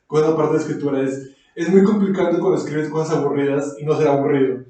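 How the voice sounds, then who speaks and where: A man's voice: a little high, fairly even intonation, fast talker, mellow. A man with a Mexican accent chatting informally as a podcast co-host, feeling his way through his phrasing. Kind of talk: casual chat, reviewing an audio drama. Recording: very echoey room, distant from mic.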